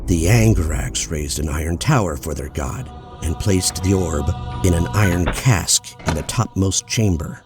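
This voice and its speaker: A man narrating a fantasy audiobook in a measured, solemn storytelling manner. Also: low voice. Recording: loud music bed under voice.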